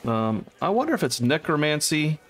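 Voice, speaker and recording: A man's voice: a little high, very animated, moderate pace. A man co-hosting a let's play, chatting casually and reading item stats aloud as he plays. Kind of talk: casual gaming chat. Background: faint game music.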